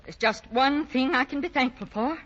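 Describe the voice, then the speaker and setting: A woman's voice: high voice, normal speed, fairly bright tone. An actress playing a fretful, middle-aged mother who fusses and scolds in 1940s radio style. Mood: exasperated scolding. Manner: fussing, exasperated. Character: fretful, scolding, loving mother of grown sons. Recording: muffled old 1940s radio recording.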